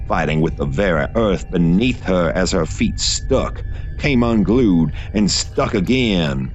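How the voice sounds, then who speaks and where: A man with a profoundly deep voice narrates gothic horror fiction. His delivery is measured and literary, like a storyteller reading from a script. Soft music plays faintly behind him.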